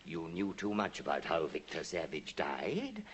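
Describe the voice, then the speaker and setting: Deep, gloating English radio villain. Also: male voice, wildly swooping pitch, exaggerated voice. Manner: sneering, deliberate, taunting. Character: gloating, vindictive male Victorian poisoner. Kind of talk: 1950s radio drama villain acting. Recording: hissy 1950s radio recording.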